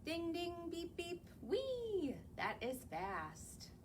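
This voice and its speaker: A young woman reads picture books to little kids at a slow, unhurried pace. Her pitch swoops high and low as she coaxes them along with questions. Faint noise hums under the camera mic. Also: huge pitch swings. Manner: sing-song, slow, coaxing, asks the children questions. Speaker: children's librarian. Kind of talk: reading picture books aloud to young children. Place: library or home, recorded on camera.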